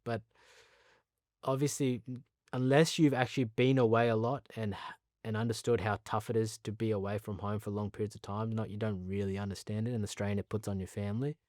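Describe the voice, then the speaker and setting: A man in his late thirties with an Australian accent speaks candidly in a sit-down interview. His voice sits fairly low, and he comes across as earnest and chatty, often laughing at himself. The sound is dry and close, with no room in it. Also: flat delivery, brisk, a little mellow, no echo. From Pakistan, raised in Sydney. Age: 30s. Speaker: cricketer, interview guest.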